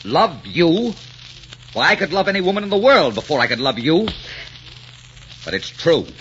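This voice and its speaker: A man's voice: on the high side, very animated, moderate pace, fairly bright tone. A man acting a proud, bitter, passionate desert sheik in a 1940s radio melodrama, with a commanding, theatrical manner. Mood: bitter, vengeful. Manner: brooding, clipped, commanding, theatrical. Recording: dull, very noisy 1940s radio recording.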